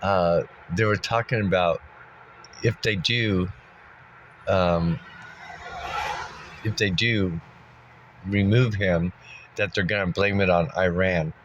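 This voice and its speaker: Very low-voiced congested man rambling. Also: fairly even intonation, slow, long pauses, a bit bright, muffled recording, slight background noise. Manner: meandering, thinks aloud with many fillers. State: congested, coughing. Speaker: vlogger. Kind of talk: rambling casual monologue. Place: sitting in a dusty spot, maybe in a car.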